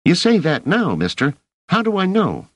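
A man's voice: sits high, wildly swooping pitch, moderate pace, husky, no room sound, voice pushed up, heavily acted. A man voicing a cocky, street-smart young boy who talks in slang and wheedles for favors, in a storybook reading. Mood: cheeky.

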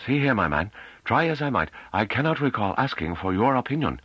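A man's voice: mid-range voice, big pitch swings, brisk, fairly bright tone, husky, dry recording, pitched above the performer's own voice. A man voicing a small, irritable, haughty customs chief who scolds imperiously in an audiobook, on a dull recording. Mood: irritated, threatening.

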